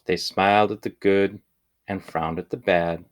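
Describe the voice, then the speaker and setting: A man reading a children's picture book aloud in measured, deliberate phrases with pauses. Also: sits low, steady tone. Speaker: storybook reader.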